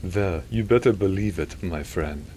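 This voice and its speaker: A man's voice: low-pitched, normal speed, mellow, a little hiss or hum, natural voice. A man voicing an amused, composed male priest in a story, with a wry, teasing manner. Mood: insistent. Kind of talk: audiobook character dialogue.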